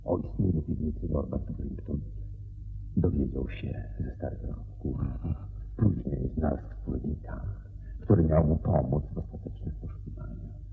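Very deep, gravelly master detective. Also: male voice, exaggerated voice. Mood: explanatory, measured. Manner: deliberate, theatrical, with emphatic pauses. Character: brilliant male detective, assured, methodically explaining a crime. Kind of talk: radio play acting. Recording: dull radio recording, faint noise and hum.

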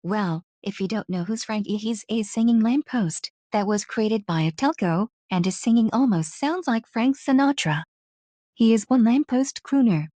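A woman's voice: a little high, normal speed, dark tone, no echo, natural voice. A woman playing a sympathetic, plain-spoken female friend in an amateur cartoon parody. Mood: introducing.

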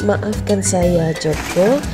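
A woman with a Malaysian accent narrating a vlog for children in a deliberate, simply explanatory manner. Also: on the low side, lively intonation, over music. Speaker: vlogger and narrator. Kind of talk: voice-over narration of a wildlife park visit for children.

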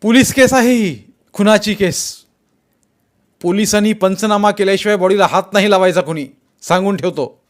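A man voicing an angry, authoritative district official in an audiobook, shouting firmly and defiantly. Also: sits high, normal speed, voice pushed up, natural voice. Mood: commanding. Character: indignant, authoritative male district collector standing his ground.

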